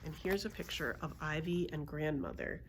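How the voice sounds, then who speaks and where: Animated woman reading a children's story. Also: deep voice, normal speed, heavy background noise. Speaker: librarian storyteller. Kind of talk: children's story read-aloud narration.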